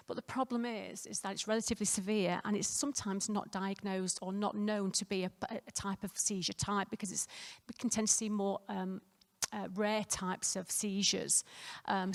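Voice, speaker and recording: A woman nurse presents at a conference in a croaky, gravelly voice. She talks conversationally, with plenty of fillers and restarts. Her voice carries a long reverb through a hotel conference room. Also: medium pitch. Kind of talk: conference talk.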